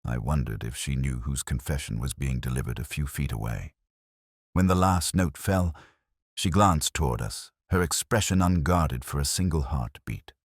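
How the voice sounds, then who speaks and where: A man giving scripted first-person detective narration in a measured, reflective, solemn manner. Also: extremely low pitch, husky, no room sound, clean studio sound.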